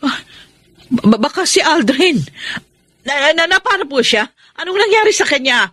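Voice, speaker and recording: A woman's voice: high voice, sing-song, normal speed, bright, forceful tone, full character voice. A woman with a Filipino accent playing an anxious, earnest middle-aged mother in a radio drama. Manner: pleading, earnest.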